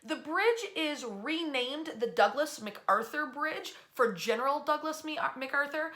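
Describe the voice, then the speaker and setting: A woman presenting local history as an animated storyteller, in a conversational, emphatic and folksy way. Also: high-pitched, a little slow.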